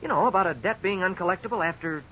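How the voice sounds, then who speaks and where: An actor playing a worried young husband, frank and resigned, in a 1950s radio drama. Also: male voice, high voice, fast talker, bright, forceful tone. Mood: puzzled. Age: young adult. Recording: dull, hissy 1950s radio recording.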